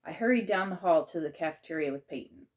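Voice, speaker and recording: A woman reading a children's chapter book aloud in measured, expressive narration. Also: on the low side.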